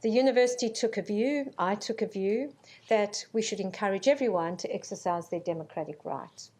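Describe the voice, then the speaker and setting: A middle-aged woman born in Zambia giving a formal conference welcome speech, measured and earnest, with frequent ums. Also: mid-range voice, darkish tone. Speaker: university vice-chancellor. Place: conference hall.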